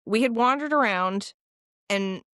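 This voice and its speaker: A woman co-hosting a podcast, chatting casually in an animated, teasing way with quick jokes. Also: fairly high pitch, dry recording, studio quality. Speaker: podcast co-host. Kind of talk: casual chat.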